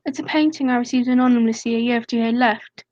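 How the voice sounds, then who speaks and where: A woman's voice: fairly high pitch, steady tone, quick pace, highs cut off, background noise, natural voice. A woman playing an earnest, pleading young fiancée in a radio drama. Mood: anxious, devoted.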